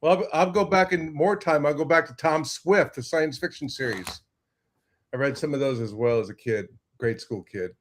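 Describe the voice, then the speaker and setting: An older man from Texas on a video call, his voice a bit high. He jokes and brags playfully, teasing the others with mock-grand boasts. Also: normal speed, a little hiss or hum. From Texas, USA. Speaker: guest. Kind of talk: casual video call chat.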